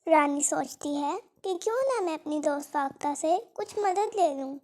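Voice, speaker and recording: Female narrator, extremely high voice, scripted storytelling, children's cartoon. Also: expressive, moderate pace, a little mellow.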